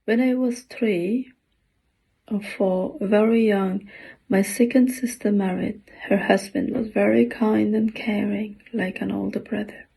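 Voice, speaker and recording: A woman in her forties from Afghanistan, with an Afghan accent, reading her own poem slowly and haltingly, pausing between phrases. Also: medium pitch, steady tone, gravelly, dark tone, slightly rough, poor audio. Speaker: poet.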